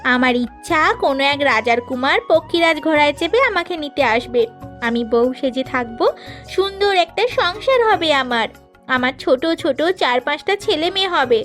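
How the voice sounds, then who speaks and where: A woman's voice: sits high, lively intonation, normal speed, fairly bright tone, soft background music. A woman playing a playful, teasing young unmarried woman in a cartoon story. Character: playful young unmarried women teasing, dreamy about marriage. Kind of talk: Bengali cartoon story dialogue.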